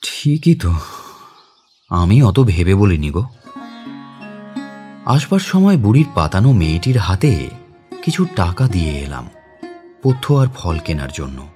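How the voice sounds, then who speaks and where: An actor playing a mild, educated man near forty who narrates his memories in a dramatised literary audio story, in a reflective, expressive way. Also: deep voice, a little slow, pauses often, faint music behind.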